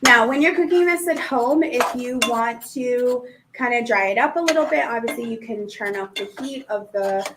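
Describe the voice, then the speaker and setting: Woman cooking host, higher voice, chatty and upbeat, kitchen noise behind her. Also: normal speed. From Puerto Rican family. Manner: chatty, filler-heavy, upbeat. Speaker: cooking instructor. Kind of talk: live-streamed cooking demo.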